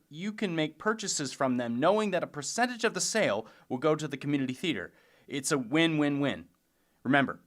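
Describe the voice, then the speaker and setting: A man's voice: medium pitch, fairly bright tone. A man making an informal, conversational promotional appeal as a theater staff member. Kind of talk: casual promotional announcement.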